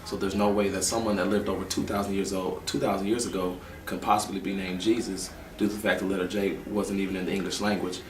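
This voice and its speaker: A man's voice: low-pitched, level tone, quick pace, few pauses. A man in his twenties giving long, earnest interview answers. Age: 20s. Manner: earnest, explaining at length. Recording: roomy echo from a distance with faint noise.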